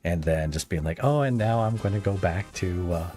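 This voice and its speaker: A man hosting a casual podcast interview, chatty and halting as he thinks aloud. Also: low-pitched, animated, dark tone. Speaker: podcast host, content creator. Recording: music playing under the talk.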